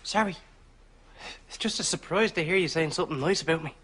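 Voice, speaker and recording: Young irish lad in a sitcom, talks fast, bit high, impatient. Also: male voice, fairly bright tone, husky. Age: young adult. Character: impatient, hurried grown son in a sitcom.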